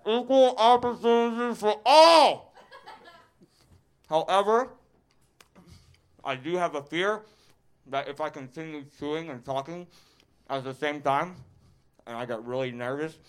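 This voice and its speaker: A man's voice: a little high, a little slow, long pauses, clear, resonant tone. A man in his twenties doing stand-up on a live stage, stuttering and laughing at his own jokes. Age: 20s. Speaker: stand-up comedian. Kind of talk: stand-up set.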